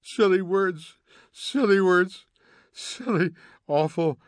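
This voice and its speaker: A shrill, indignant housewife, put on by a male narrator, scolding and accusing in a high, loud voice. She is an audiobook figure with a dry, close sound. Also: a little slow, dry recording, lightly acted. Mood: scornful.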